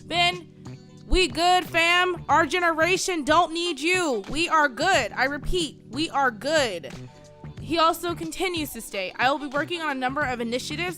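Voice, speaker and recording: A woman in her twenties with an American accent, hosting a radio show with scripted, sassy, opinionated political commentary. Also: high-pitched. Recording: music bed behind voice.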